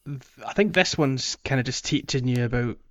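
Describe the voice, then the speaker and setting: A man talks casually as a podcast co-host. His voice sits at an average pitch, and he hesitates as he searches for words. The recording is a little dull and lo-fi. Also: moderate pace, a bit bright. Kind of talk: casual video game discussion.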